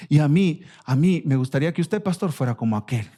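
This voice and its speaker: Latin American pastor preaching, higher-pitched voice. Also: male voice, expressive, fairly quick, mellow. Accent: Latin American Spanish. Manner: emphatic, repeats phrases, asks rhetorical questions. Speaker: pastor preaching to his church. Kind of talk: expository sermon with direct exhortation.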